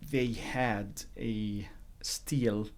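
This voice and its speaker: A man in his fifties, originally from Egypt, a guest talking about his career in a measured, reflective way. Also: on the low side, fairly even intonation. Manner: measured, reflective, frequent uh fillers. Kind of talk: interview.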